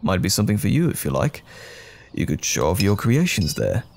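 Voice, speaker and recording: Man acting as caring boyfriend, low creaky voice, highly animated intonation, gentle, affectionate, intimate audio roleplay. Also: a little fast, full character voice. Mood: encouraging.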